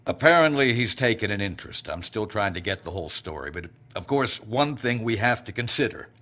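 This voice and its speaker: An anxious director, very expressive. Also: male voice, fairly low pitch, fairly quick. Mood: guarded. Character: pragmatic anxious male director of secret research facility. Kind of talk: audio drama dialogue.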